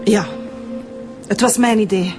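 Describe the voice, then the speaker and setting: Woman doing a bitter defiant flemish girl in a radio play, normal pitch, music under it. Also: a little slow, darkish tone, natural voice. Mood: bitter, grieving. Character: young woman avenging her murdered father. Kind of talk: radio drama acting.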